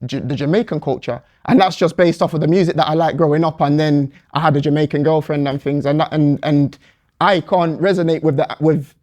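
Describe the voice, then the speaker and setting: Male panel guest, careful and hesitant, slightly high voice. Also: fairly even intonation, a little fast, a little mellow. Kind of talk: panel debate.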